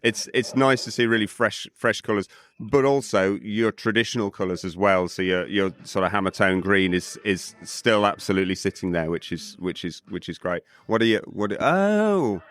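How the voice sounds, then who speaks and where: A British man co-hosting a casual podcast interview at a trade show, his voice croaky after a night out, chatty and full of fillers and repeated words. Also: lowish voice, moderate pace, a bit bright. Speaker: podcast co-host. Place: busy trade show hall.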